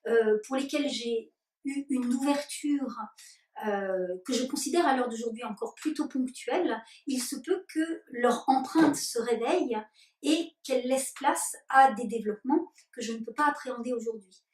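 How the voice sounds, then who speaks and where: A woman leading spiritual workshops, answering interview questions slowly and deliberately with many hesitations. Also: a little high, darkish tone. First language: French. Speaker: workshop leader. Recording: roomy and distant.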